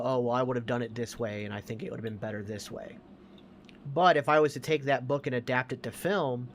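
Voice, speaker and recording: A man's voice: mid-range voice, slight background noise. A man co-hosting a podcast, talking casually and emphatically as he gives his opinions. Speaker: podcast co-host.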